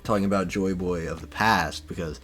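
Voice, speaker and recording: A man's voice: low-pitched, fairly even intonation, fairly quick, a bit bright, faint music behind. A man in a casual podcast chat, explaining things hesitantly with plenty of fillers.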